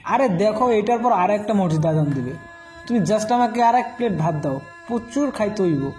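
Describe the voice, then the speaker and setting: A man's voice: high-pitched, expressive, moderate pace, music in the background. A man playing a cheeky, lazy teenage son in a comic cartoon, wheedling with a Bangladeshi accent. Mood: pleading.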